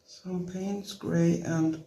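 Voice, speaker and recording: A woman with a non-native English accent giving a relaxed watercolour lesson in short, gently encouraging phrases while she works. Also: on the low side, very slow, rough recording. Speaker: watercolour tutorial instructor. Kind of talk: relaxed painting tutorial, talking while working.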